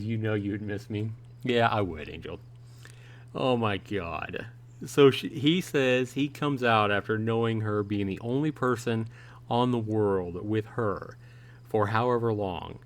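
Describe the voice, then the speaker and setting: A man hosting a live play-through of a visual novel, reading it aloud with casual commentary and reactions. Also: lowish voice. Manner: reads the dialogue aloud, then paraphrases and reacts.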